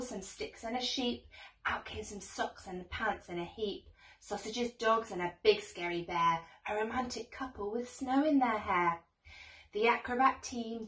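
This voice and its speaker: Young female storyteller, higher voice, expressive rhyming read-aloud, recorded at a distance in a roomy space. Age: young adult. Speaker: teacher reading a children's story. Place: small bedroom, indoors. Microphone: camera a few metres away. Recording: roomy echo, distant microphone.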